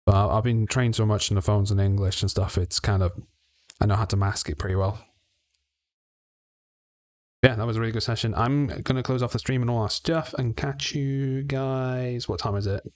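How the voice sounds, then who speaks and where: A man's voice: lowish voice, steady tone, normal speed, a little mellow, no room sound. Chatty man from Wales, dry mic. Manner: chatty, thinks aloud while wrapping up. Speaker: stream host and player. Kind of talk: casual chat and stream sign-off.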